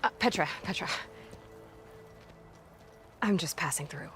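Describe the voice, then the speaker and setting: A woman's voice: on the low side, expressive, a little slow, a bit bright, soft background music. A woman playing a determined, guarded young hunter in a video game, speaking in an earnest, resolute way.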